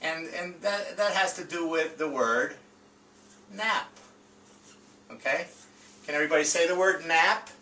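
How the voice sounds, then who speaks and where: A man teaching a reading lesson in an informal, halting way, repeating words and often checking in with the listener. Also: on the high side, bright, forceful tone. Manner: halting, frequent stammered repeats and check-in tags. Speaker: teacher demonstrating a read-aloud lesson. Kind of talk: classroom reading and phonics lesson, informal and unscripted. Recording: roomy, distant mic with faint noise.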